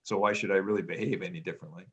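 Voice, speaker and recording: A middle-aged man with a very deep, low voice talks through his thoughts in a relaxed family conversation. He is loud and reflective, and he often stops to restart a sentence or fill a gap with "um.". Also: racing. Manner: hesitant, many ums and restarts, reflective; says he has a loud voice. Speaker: podcast co-host, parent.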